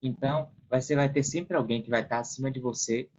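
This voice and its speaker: A man's voice: mid-range voice, fairly even intonation, a little fast, darkish tone, slight background noise. A man with a Brazilian accent explaining things casually as a podcast guest, acting out hypothetical quotes as he goes.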